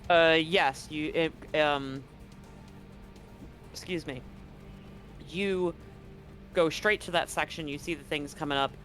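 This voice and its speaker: A man's voice: fairly high pitch, fairly even intonation, slow pace, pauses often, a bit bright. A man working as game master, narrating a tabletop roleplaying game in a conversational, explanatory way. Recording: music playing under the voice. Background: background music.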